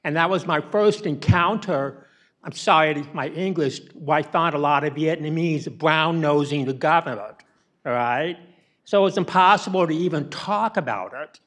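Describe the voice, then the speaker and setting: A man's voice: fairly high pitch, normal speed, fairly bright tone. A middle-aged man asking a question from the audience, rambling and tagging his sentences with okay and all right. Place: conference ballroom. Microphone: handheld mic.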